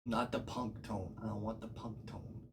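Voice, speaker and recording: A man's voice: lowish voice, fairly even intonation, moderate pace. A man chatting casually on a livestream, muttering to himself in a self-deprecating, sweary, grumbling way as he is recorded through a phone microphone. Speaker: live streamer. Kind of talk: casual livestream chat, muttering to himself during audio setup. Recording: noisy phone microphone with background ambience. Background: clear background noise.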